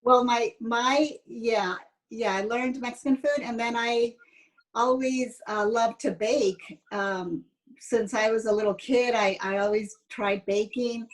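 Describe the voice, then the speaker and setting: A woman's voice: on the high side, slow, rough recording. A woman in her fifties from San Jose, a chatty, explanatory interview guest telling personal stories in a casual way. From San Jose, United States. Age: 50s. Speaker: interview guest, educator and former pastry chef.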